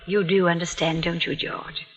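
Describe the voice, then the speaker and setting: A sweet elderly aunt from an old radio play, genteel and trusting. Her bright voice carries a long reverb on a muffled vintage recording. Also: lowish voice, flat delivery, moderate pace. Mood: fond. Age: older. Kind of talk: 1940s radio acting.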